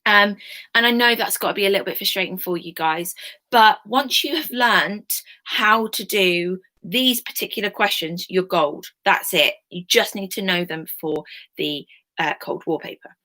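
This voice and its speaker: A young woman teaching a history class online, chatty and informal with many ums, her voice slightly croaky. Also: medium pitch, normal speed, sharp tone.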